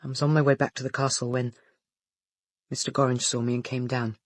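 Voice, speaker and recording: An actor playing a polite, earnest teenage schoolboy in a radio play, speaking hesitantly and deferentially. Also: male voice, mid-range voice, flat delivery, a little fast, no room sound, studio quality, lightly acted. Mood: hesitant, uneasy.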